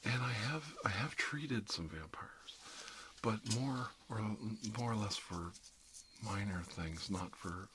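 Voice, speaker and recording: A man playing a gentle, reassuring dentist in a soft-spoken ASMR roleplay, murmuring hesitantly and often slipping into a whisper. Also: lowish voice, lively intonation, takes it slowly, background noise. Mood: calm, kindly. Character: gentle dentist treating a vampire patient.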